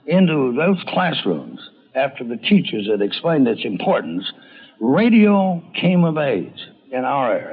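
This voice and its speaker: An older man, a radio veteran, recollecting in an interview with measured, emphatic, formal wording. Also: mid-range voice, husky. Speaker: radio veteran, former commercial manager. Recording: thin phone-like archive recording.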